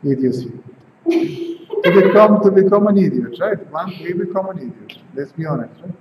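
A man's voice: high-pitched, animated, moderate pace. Venezuelan man chatting in a roomy room. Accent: Venezuelan Spanish. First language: Spanish. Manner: conversational, keeps asking "right?" and "see?". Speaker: spiritual teacher. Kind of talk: informal satsang talk. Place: home room with tiled walls, indoors. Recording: roomy, dull camera sound, faint hiss.

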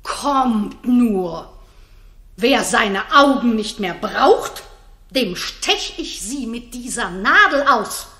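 Fearless noblewoman for classic radio drama: outspoken, declamatory, slow deliberate delivery. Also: fairly high pitch, fairly bright tone, full character voice. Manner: bold, declamatory. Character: fearless, outspoken, indignant noble lady-in-waiting. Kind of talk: 1960s German radio drama acting. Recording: roomy 1960s radio studio recording.

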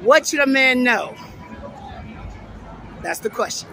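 High-voiced man interviewing on a loud street. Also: lively intonation, takes it slowly. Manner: teasing, laughs loudly at answers. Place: busy city street at night. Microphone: phone held at arm's length. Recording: noisy street with music and crowd. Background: music and crowd noise.

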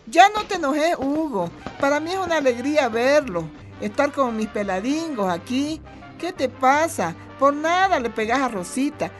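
A woman's voice: sits high, expressive, moderate pace, faint music behind. An actress with a Bolivian accent playing an affectionate, protective grandmother who speaks her mind in a radio drama. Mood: reproving. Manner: doting, then firmly reproving.

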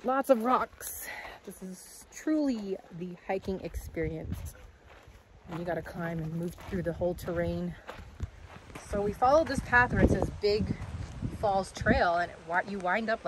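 A woman, chatting casually to her camera while hiking outdoors, holding it at arm's length. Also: lowish voice. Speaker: vlogger. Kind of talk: casual outdoor vlog chat. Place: outdoors on a rocky creek trail. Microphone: handheld camera at arm's length. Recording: noisy outdoor recording with wind and rushing water.

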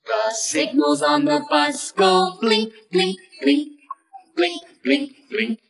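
A woman's voice: high-pitched, animated, slow pace, vocal fry, recorded from a distance. A woman singing a children's nursery song in a simple sing-along way, with the words repeated over and over. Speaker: singer. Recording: voice set back from the mic over loud backing music.

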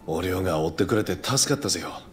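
A man's voice: low-pitched, quick pace, soft background music. A man playing a hearty, impulsive young samurai with a rustic Tosa accent in a Japanese video game. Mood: friendly. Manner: rustic, familiar, expressive.

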